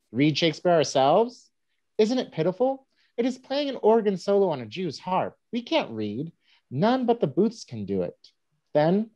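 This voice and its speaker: Woman as lofty, lilting mock orator, mellow. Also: deep voice. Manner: declamatory, lofty. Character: young girl mimicking a pompous newspaperman reading aloud. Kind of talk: staged play reading, oratory. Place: home room, video call. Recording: muffled video-call audio.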